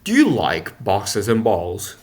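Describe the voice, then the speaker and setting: A man voicing a gentle, wise woodcarver in a storybook, calm, deep and kind, speaking slowly and tenderly. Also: exaggerated voice. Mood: gently curious. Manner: slow, deliberate, tender. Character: gentle, wise male woodcarver, fatherly maker of puppets.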